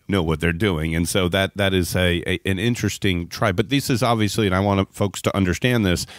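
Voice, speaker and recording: A man with a deep voice hosts a podcast interview, recorded close and dry. He talks conversationally and circles toward his point, doubling back to rephrase. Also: fairly even intonation, fairly quick, no echo. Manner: roundabout, repeats and rephrases himself.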